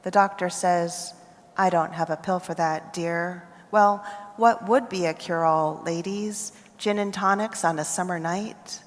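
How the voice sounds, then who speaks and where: An American woman reads her poetry slowly and deliberately, letting each line settle before the next. Her voice sits a little low, and a long reverberant hall tail trails after her words. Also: fairly even intonation. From United States. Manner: measured, deliberate phrasing with pauses between lines. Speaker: poet. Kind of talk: poetry reading. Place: festival reading hall.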